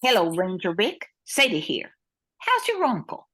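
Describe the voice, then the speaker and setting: A woman's voice: medium pitch, huge pitch swings, measured pace, fairly bright tone, full character voice. A woman playing a capable but worried airline owner and pilot, reading earnestly in a radio play. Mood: businesslike. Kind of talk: old-time radio play acting.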